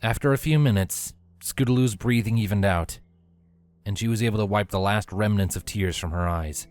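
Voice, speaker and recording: Low-voiced male story narrator. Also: moderate pace, a little mellow.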